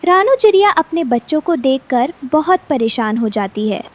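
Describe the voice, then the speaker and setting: A woman's voice: high-pitched, very animated, moderate pace. A woman narrating a scripted cartoon story.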